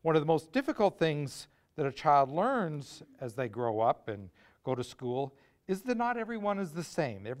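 Middle-aged male host, a bit high-pitched, measured scripted intro in a lecture hall. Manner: measured, listing words one by one.

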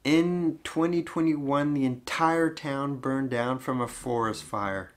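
A young man narrating his road trip vlog in a relaxed, easygoing way. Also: mid-range voice, slow pace. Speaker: travel vlogger.

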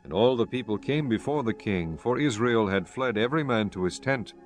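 A man's voice: medium pitch, lively intonation, a little fast. A man reading scripted narration from a dramatized scripture recording.

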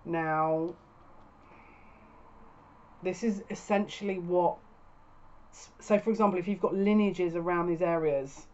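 A woman's voice: fairly low pitch, darkish tone. Woman giving a tarot reading, dull audio. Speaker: psychic tarot reader. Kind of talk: casual spoken tarot reading to camera. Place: small furnished room at home, indoors. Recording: dull lo-fi webcam sound.

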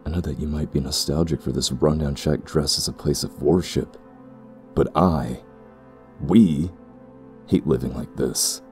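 Man acting very deep, gravelly fantasy priest. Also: fairly even intonation, darkish tone, soft background music. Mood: indignant. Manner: formal, grave, deliberate. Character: stern, ambitious male priest of a fantasy temple. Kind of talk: fantasy audio roleplay acting.

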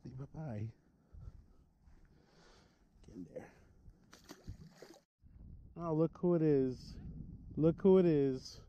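A man talking casually as he films himself fishing by a lake, relaxed and joking. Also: a little high, slow, pauses often, muffled recording. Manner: relaxed, joking, laughs often. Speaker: fishing vlogger. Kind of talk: casual vlog chat. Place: outdoors by a lake. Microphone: head-mounted action camera. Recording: outdoor noise on camera mic.

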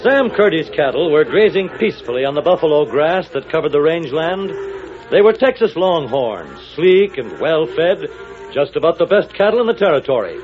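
A man's voice: on the high side, sing-song, normal speed, muffled recording, faint music behind. A man narrating a 1940s radio serial as announcer, in a dramatic, declamatory style on an old recording.

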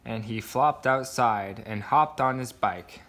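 A man with a mid-pitched voice reads a children's picture book aloud. His pace is slow, and he makes the sound words expressive. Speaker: storybook reader.

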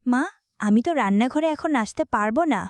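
A woman voicing a busy, scolding but soft-hearted village mother in a cartoon. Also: fairly high pitch, lively intonation, a little fast, no echo, natural voice. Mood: surprised.

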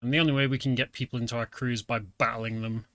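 A British man streaming a game, explaining it in a relaxed, filler-heavy casual commentary. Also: medium pitch, fast talker, dry recording, clean studio sound. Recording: game music behind.

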